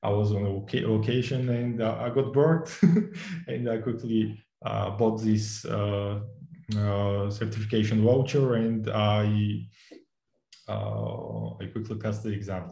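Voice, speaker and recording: A man's voice: on the low side, fairly even intonation, a little slow, creaky, dark tone, roomy sound. A young adult man from Georgia with a Georgian accent, an instructor answering interview questions in English, hesitant, with many fillers and restarts, laughing often.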